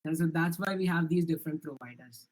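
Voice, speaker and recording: Young Indian man demoing software. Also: a little high, quick pace, poor audio. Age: young adult. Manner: earnest, many restarts and "uh" fillers, explanatory. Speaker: student developer presenting his project. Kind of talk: live project demo with Q&A.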